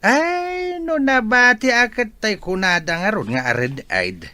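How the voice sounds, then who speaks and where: A man's voice: sits high, big pitch swings, slow, pushed and bright, dry recording, exaggerated voice. A man with an Ilocano Filipino accent playing a jovial old grandfather who loves his drink, in an audio drama.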